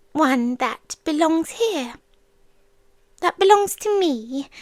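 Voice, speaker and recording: Casting: woman as possessive yandere water demon, British, ASMR roleplay. Extremely slow, soft whispery coaxing, tender yet threatening, very close and dry. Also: high voice, no echo, full character voice. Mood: sweetly possessive. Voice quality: soft, breathy, often whispered. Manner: slow, coaxing, sweetly menacing with long pauses. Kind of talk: ASMR roleplay, soft intimate delivery often dropping to a whisper.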